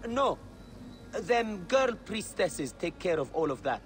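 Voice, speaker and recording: An actor playing a lowly, helpful temple worker with a colloquial, unpolished way of talking in a video game. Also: male voice, very animated, slow pace, full character voice. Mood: matter-of-fact. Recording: music behind the voice. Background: music.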